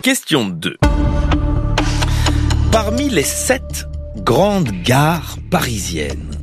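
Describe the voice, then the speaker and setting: A man's voice: medium pitch, drawn-out words, loud music behind the voice. A man hosting a radio quiz, playful and teasing with the contestant. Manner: teasing, lively, joking with the contestant.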